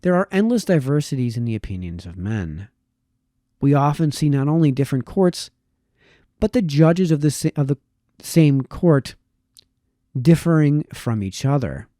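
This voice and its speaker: Mellow man reading aloud, dry sound. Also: a little high, lively intonation, dry recording. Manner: measured, formal read with occasional stumbles. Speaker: reader. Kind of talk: reading aloud a historical political text.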